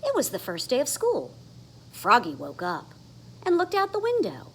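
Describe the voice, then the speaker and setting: A woman's voice: high-pitched, wildly swooping pitch, normal speed, fairly bright tone, slight background noise, rough recording. A woman reading a children's story aloud expressively, with dramatic emphasis on the sound words. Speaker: storybook reader. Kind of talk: animated children's read-aloud.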